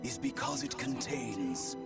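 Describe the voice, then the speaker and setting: A scheming ghostly master for a video game. He speaks slowly and grandly, with theatrical leaps in pitch and formal phrasing. Loud music plays behind him. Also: expressive, gravelly. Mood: gloating, sly.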